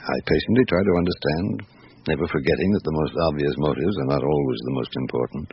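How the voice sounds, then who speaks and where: Deep-voiced older detective in a radio play, measured n patient, few words, muffled old recording. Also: male voice, breathy edge, full character voice. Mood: pensive. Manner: measured, terse questioning. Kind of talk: radio drama acting.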